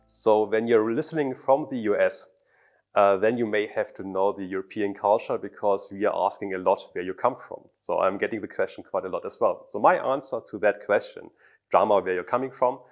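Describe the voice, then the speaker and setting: A man's voice: fairly low pitch, normal speed. A man in his thirties from Germany answers interview questions to camera as a startup founder, feeling his way through sentences with repeated words. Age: 30s. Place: office meeting room indoors. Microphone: lapel mic.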